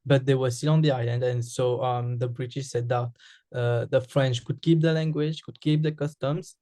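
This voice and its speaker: A man's voice: medium pitch, fairly even intonation, normal speed, mellow, dry recording, clean studio sound. A man from Mauritius with a Mauritian accent, a Creole speaker answering interview questions, hesitant with frequent fillers and restarts.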